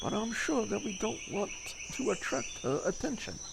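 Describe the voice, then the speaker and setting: A man's voice: high voice, very animated, moderate pace, creaky, background noise, voice pushed up, exaggerated voice. A man voicing a cautious, earnest young heroine in a visual novel, speaking hesitantly and with concern. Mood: wary. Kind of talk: visual novel character read aloud.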